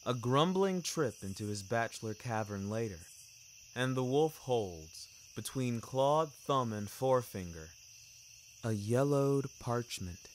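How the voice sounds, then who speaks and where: Slow, measured male storyteller. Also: medium pitch, expressive, a little hiss or hum. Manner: measured, literary phrasing. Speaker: narrator of fiction podcast. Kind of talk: scripted first-person storytelling.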